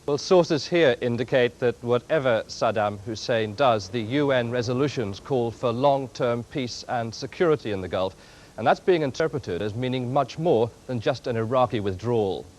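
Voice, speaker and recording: A man's voice: mid-range voice, normal speed, darkish tone, a little hiss or hum. A man giving a live political news report as a correspondent. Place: outdoors on a London street.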